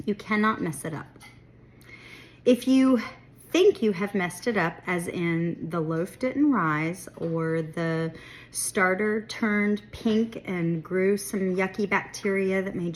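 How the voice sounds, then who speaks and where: A young woman talking through her bread-baking in her home kitchen, chatty and reassuring, with a congested, sniffly voice. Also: medium pitch. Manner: chatty, self-deprecating, reassuring. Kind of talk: casual baking tutorial talk.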